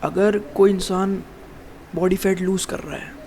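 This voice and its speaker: Gravelly young man rambling to camera. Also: sits high, flat delivery, unhurried, dark tone, slight background noise. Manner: rambling, addresses viewer as "bhai" constantly, many restarts. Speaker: fitness vlogger. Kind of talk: casual to-camera monologue.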